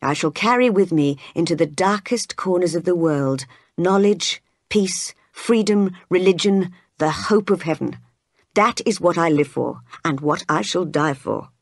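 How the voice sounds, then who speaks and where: Narrator playing a stern young vicar in a storybook, slow n grave, holding himself back. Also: mid-range voice, lively intonation, no room sound, clean studio sound. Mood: resolute, fervent. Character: stern, devout young vicar, passionately self-denying.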